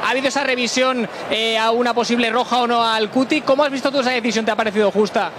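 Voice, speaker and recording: Rapid, very high-voiced male reporter. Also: steady tone, background noise. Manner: rapid questioning. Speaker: pitchside reporter. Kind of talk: halftime interview questions.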